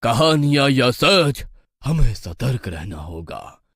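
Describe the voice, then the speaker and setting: A man speaks gravely and slowly, weighing each word. The recording is dry and close. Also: medium pitch, big pitch swings, fairly bright tone, no echo.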